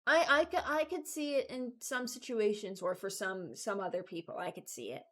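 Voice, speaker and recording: A woman's voice: mid-range voice, normal speed, fairly bright tone. Woman rambling casually through a ranking. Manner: rambling, thinking aloud. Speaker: video host. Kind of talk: casual reaction commentary, ranking game voice lines.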